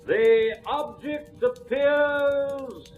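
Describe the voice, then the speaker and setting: A grand, very high-pitched stage mentalist. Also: male voice, very slow, full character voice. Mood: grand, commanding. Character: masked male stage mentalist, grand, commanding showman. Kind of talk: 1940s radio drama stage patter. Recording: muffled old radio recording, faint music.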